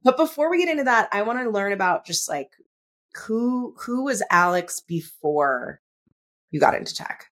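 A woman hosting an interview podcast, chatty and animated, laughing easily as she talks. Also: mid-range voice, moderate pace. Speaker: podcast host. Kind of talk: casual interview chat.

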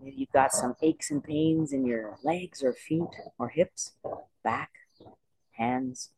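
A meditation teacher leading a guided body scan in slow, unhurried phrases with pauses. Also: medium pitch, big pitch swings, gravelly, darkish tone, breathy edge, low-quality recording.

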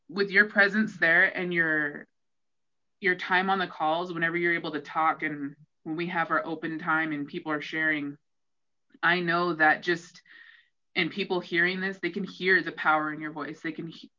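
Woman podcast host, casual chat, bright sharp tone, fairly low, dull lo-fi sound. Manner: animated, acts out her own past thoughts. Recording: dull lo-fi audio.

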